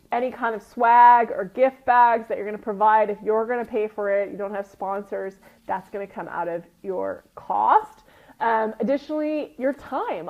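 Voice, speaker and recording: A woman with a slightly sniffly, runny-nosed sound gives an informal coaching talk, explaining things conversationally and often checking in with short tag questions. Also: fairly high pitch. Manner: conversational teacher, often checking in with "right?" and "okay?". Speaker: retreat business coach and group host. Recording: dull lo-fi audio.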